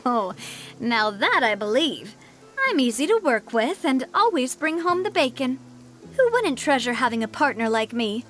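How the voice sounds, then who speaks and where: A woman playing a confident, breezy young lady boss in a video game, self-assured, expressive and playful. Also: high voice, normal speed, soft background music. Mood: cheerful, cocky.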